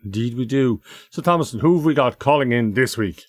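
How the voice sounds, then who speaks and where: A man's voice: mid-range voice, animated, fairly quick. A man hosting a light-hearted podcast, presenting to listeners and putting questions to a guest. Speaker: podcast host.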